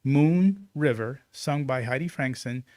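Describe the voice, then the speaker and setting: A man's voice: medium pitch, measured pace, darkish tone, dry recording. A man speaking as a club president, delivering prepared announcements to open a meeting.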